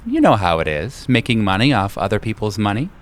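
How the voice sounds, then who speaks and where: Man acting patient young lover, expressive intonation. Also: lowish voice, brisk, slight background noise. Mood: playful. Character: slender man in his late twenties, patient wounded lover.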